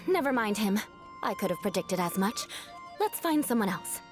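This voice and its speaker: A woman's voice: mid-range voice, very animated, moderate pace, natural voice. An actress voicing a proud young noblewoman knight who speaks in stiff, formal, ornate phrasing. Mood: resigned. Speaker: game character. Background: game music.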